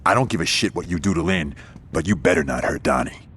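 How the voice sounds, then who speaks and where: A man's voice: deep voice, lively intonation, fast, fairly bright tone, a little hiss or hum. An actor playing a brash, loyal young male gang lieutenant in a video game, talking in blunt street-casual style. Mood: angry, defensive. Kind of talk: video game cutscene acting.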